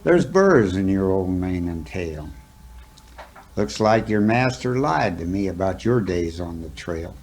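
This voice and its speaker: A man's voice: low voice, expressive, darkish tone. A man reciting a cowboy poem as a dramatic story, in a folksy, rustic manner. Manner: folksy, drawn-out phrasing with rustic dialect.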